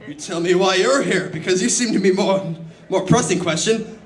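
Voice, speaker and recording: A man's voice: high-pitched, moderate pace, close to an everyday voice. Man doing a sullen young swordsman, echoey room. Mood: irritated, flustered. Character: sullen, embarrassed young swordsman, easily irritated. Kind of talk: dramatic fan fiction reading played for comedy.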